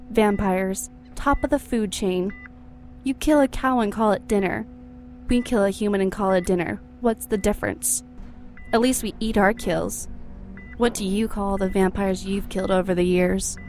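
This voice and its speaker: A woman's voice: mid-range voice, normal speed, a little mellow, natural voice. An actress playing a cruel, seductive vampire ex-wife in an audio drama, mocking and theatrical, with music behind her. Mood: smug, sardonic. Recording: music bed under the voice.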